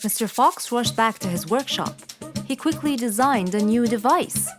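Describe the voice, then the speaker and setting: A woman's voice: fairly high pitch, lively intonation, normal speed. A woman reading scripted narration for a children's cartoon story. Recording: music behind the voice.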